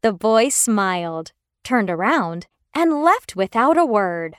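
A woman narrating a scripted children's fairy tale in an expressive, careful way. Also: mid-range voice, slow pace, clear, resonant tone, no echo, studio quality. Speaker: storyteller.

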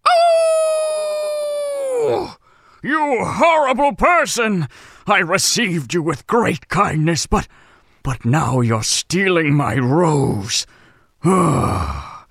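A storyteller voicing a fierce male beast from a fairy tale, with slow, emphatic, theatrical delivery. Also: mid-range voice, sing-song, no room sound, studio quality, pitched below the performer's own voice, heavily acted. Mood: outraged. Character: fierce beast with lion head, male, gruff but lonely.

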